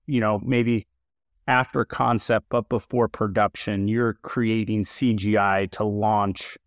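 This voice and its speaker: A low-voiced man hosting a podcast, chatting loosely with plenty of "like" and "you know". The sound is dry and close, with no room around it. He keeps an easy, informal tone. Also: slow, no room sound. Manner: relaxed, chatty, many fillers and restarts. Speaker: podcast host. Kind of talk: casual interview chat.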